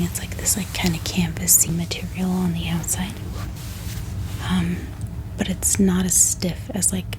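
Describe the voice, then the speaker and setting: A woman speaking in a soft, breathy whisper, gently showing items in an ASMR haul and sometimes repeating phrases slowly. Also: lowish voice, low-quality recording. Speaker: host of a bookish ASMR channel.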